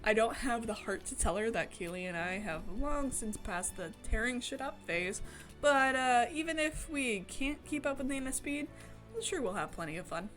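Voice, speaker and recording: An excitable woman streams herself reading game story aloud. Her voice is highish and very animated, with giggly, gushing reactions. Music plays behind her. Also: normal speed. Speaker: streamer playing a visual novel.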